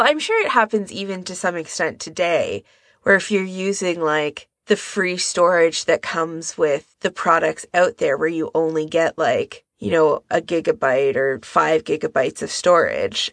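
A woman with a lowish, creaky voice talks casually as a podcast co-host. She is careful to qualify her claims, and the sound is dry and close, with no room echo. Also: fairly bright tone. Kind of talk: casual discussion.

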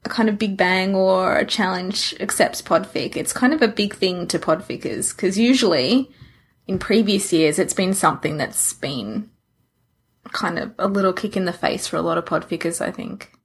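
A woman with a husky voice and a wry, joking manner, chatting casually as a podcast co-host. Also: on the low side, moderate pace.

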